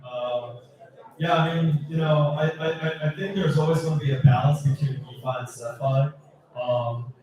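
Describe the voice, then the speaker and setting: A man from Taiwan speaking as a panelist in an unscripted discussion, halting, repeating words and often saying "you know". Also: on the high side, steady tone, a little mellow. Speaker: panelist, crypto video creator. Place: event hall panel with audience. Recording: very echoey hall, distant mic, crowd murmur.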